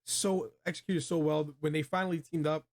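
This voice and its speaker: A man with an American accent and a voice a bit above average in pitch. He hosts a relaxed film review, speaking in loose slang with plenty of enthusiasm. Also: fairly quick. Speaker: host of a movie review show. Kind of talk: casual chat, film review.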